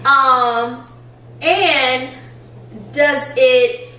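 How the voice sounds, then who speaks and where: A woman's voice: sits high, very slow, roomy sound, distant mic, slight background noise, rough recording. A middle-aged woman hosting a casual chat, telling stories with plenty of laughter. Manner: animated storytelling, laughs often. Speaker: podcast host.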